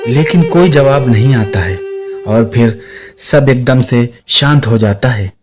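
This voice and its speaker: A man's voice: medium pitch, slow. A man narrating a scripted suspense story, with music playing behind his voice. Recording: clear music under the voice.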